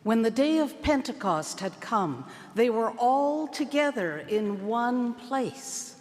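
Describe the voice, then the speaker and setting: A woman giving a dramatic scripture reading at a church service, with theatrical, deliberate phrasing and long pauses. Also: a little high, expressive. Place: large church. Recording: long church reverb, music playing behind. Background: music.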